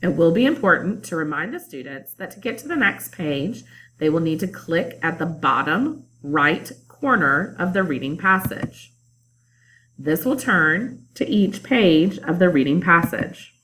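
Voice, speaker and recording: A woman teacher narrating a calm instructional screencast about online testing. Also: lowish voice, unhurried, a little hiss or hum, poor audio. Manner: calm, explanatory.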